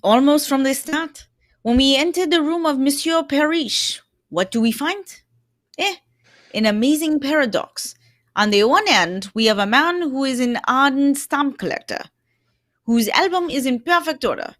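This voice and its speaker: A woman's voice: high-pitched, animated, moderate pace, a bit bright, no echo, clean studio sound. A woman playing a shrewd, courteous male detective in an old-time radio mystery, explaining things theatrically. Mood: courteous, assured.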